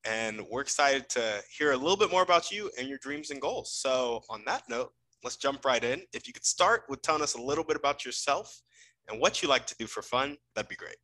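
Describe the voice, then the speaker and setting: A man hosting a podcast, introducing his guest in friendly, energetic patter. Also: medium pitch, brisk. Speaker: podcast host.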